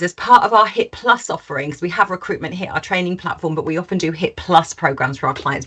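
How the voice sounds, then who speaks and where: A woman's voice: sits low, slightly rough. A woman hosting a webinar in an informal, chatty style, with colloquial sales patter. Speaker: webinar host.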